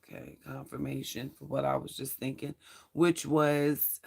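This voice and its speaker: A Bible study host with a hoarse, strained voice, reading scripture aloud and adding earnest spiritual commentary, stumbling now and then over hard names. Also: mid-range voice, very animated, measured pace, over loud music. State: hoarse, losing voice.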